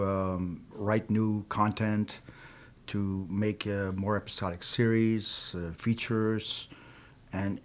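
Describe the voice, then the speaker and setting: Low-voiced Peru-born film producer. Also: male voice. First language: Spanish. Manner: measured, reflective, frequent "you know". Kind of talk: interview answers.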